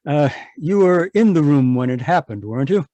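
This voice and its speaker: A man playing a calm, shrewd police inspector in a radio mystery, with a polite, measured manner. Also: on the high side, dry recording, lo-fi sound, natural voice. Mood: probing, polite. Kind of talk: 1940s radio mystery acting.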